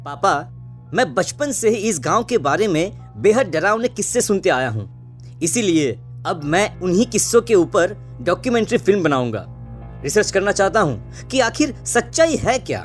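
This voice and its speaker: A man playing a carefree, headstrong eighteen-year-old son in an animated story drama. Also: high-pitched, normal speed, faint music behind. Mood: insistent. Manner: carefree, insistent.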